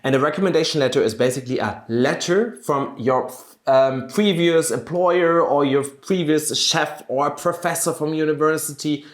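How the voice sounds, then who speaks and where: A man's voice: fairly high pitch, moderate pace, few pauses, reverberant. A man from Germany with a German accent, a native German speaker, explaining things step by step as a career coach in an emphatic, instructive way. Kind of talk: explanatory video guide to camera.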